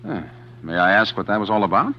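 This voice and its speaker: An actor playing a bitter, scheming estranged husband in a 1940s radio mystery, speaking in a halting, brooding way. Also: male voice, lowish voice, big pitch swings, a little hiss or hum. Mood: wry, probing. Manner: hesitant, brooding, with halting repeated words. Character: bitter, scheming estranged husband narrating his own tale. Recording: dull 1940s radio recording with music.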